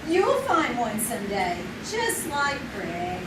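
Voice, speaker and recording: Dreamy giggly girl, woman in a live radio play; high, lively voice, far off in an echoey room. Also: takes it slowly. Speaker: Peggy, a young woman. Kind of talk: live old-time radio drama. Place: small theater stage, live. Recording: echoey stage from far off, noisy.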